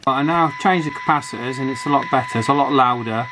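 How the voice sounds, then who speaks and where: A man's voice: medium pitch, quick pace, background noise. A man talking informally and thinking aloud as he gives a casual, hands-on technical commentary. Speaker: electronics hobbyist presenting a repair video.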